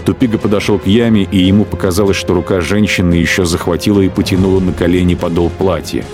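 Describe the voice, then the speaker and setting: A man's voice: low voice, steady tone, fast, close to an everyday voice. A man playing a sombre narrator in a scripted war audio drama. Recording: music behind the voice.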